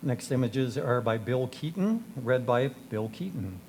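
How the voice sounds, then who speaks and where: A man's voice: medium pitch, lively intonation, measured pace, darkish tone, a little hiss or hum. A man makes brief host announcements introducing who is next at a club meeting.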